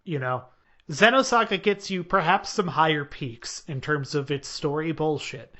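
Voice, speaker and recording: A man's voice: on the high side, moderate pace, sharp tone. A man hosting a casual podcast chat, rambling and joking with many hesitations. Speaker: podcast host. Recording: dull lo-fi podcast audio.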